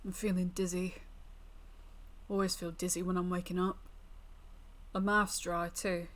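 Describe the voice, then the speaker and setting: A woman's voice: on the low side, flat delivery, natural voice. A woman voicing a naive young country lad in a visual novel, plain-spoken, folksy and drowsy. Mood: groggy. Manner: folksy, unhurried, puzzled. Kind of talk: visual novel character narration.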